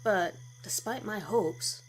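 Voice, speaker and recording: A woman's voice: mid-range voice, slow, slight background noise. A woman reading a scripted first-person horror story as its narrator. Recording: music bed under the voice. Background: background music.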